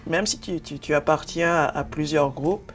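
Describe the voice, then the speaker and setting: A man's voice: on the high side, steady tone, moderate pace, fairly bright tone. A man speaking thoughtfully in a documentary interview and slipping in English words.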